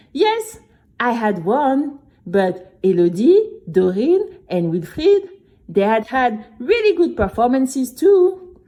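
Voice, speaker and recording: A woman playing an earnest, chatty young girl who narrates her own adventure expressively, talking straight to the listener. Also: on the high side. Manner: expressive, conversational, addresses listener. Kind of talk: animated first-person story narration.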